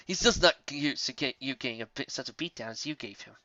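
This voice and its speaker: A man's voice: mid-range voice, quick pace, sharp tone, no room sound, dull audio, close to an everyday voice. A man voicing a friendly, easygoing male griffin with a casual, dismissive manner in a story. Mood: casual reassurance.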